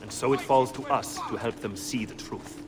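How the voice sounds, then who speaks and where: Actor as a shrewd, measured Italian conspirator. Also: male voice, medium pitch, fairly even intonation, over music, close to an everyday voice. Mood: grave. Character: shrewd, worldly male conspirator ally in Renaissance Italy. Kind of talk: video game cutscene acting.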